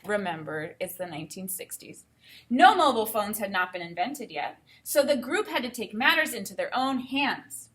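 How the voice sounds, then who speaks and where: A woman teacher giving an expressive read-aloud for children, with enthusiastic explanatory asides. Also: mid-range voice, moderate pace. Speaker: teacher reading a picture book aloud.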